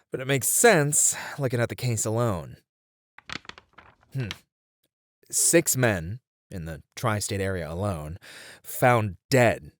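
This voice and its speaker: A man's voice: lowish voice, no room sound, studio quality. A man playing a weary, frustrated detective in an ASMR roleplay, talking conversationally to a partner and thinking aloud. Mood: grim, musing. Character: weary, frustrated male detective venting about a serial case. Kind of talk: ASMR roleplay acting, spoken to an unheard partner.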